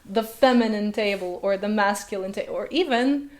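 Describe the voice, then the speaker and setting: Woman, mid-pitched, sarcastic commentary host. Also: normal speed, a little mellow. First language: Slovenian. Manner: sarcastic, full of rhetorical questions. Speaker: linguist and video host. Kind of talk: commentary vlog.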